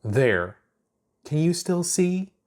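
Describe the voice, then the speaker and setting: A man's voice: a little high, sing-song, takes it slowly, a little mellow, voice pushed up. A man voicing a defensive, easily flustered young monster girl for a story. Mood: defiant. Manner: indignant, easily flustered, stammering.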